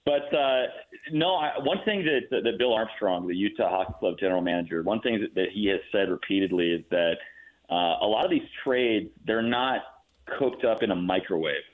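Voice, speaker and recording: A man speaking as a guest announcer in a casual sports radio interview, thinking aloud with halting, repeated words. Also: fairly low pitch, lively intonation. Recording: muffled phone-like line.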